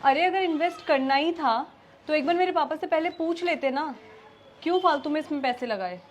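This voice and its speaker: A woman's voice: high-pitched, a little fast, a little hiss or hum, lightly acted. A young woman with an Indian accent acting a proud, easily stung, outspoken wife in a domestic drama. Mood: indignant. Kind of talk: scripted domestic drama acting in Hindi with some English.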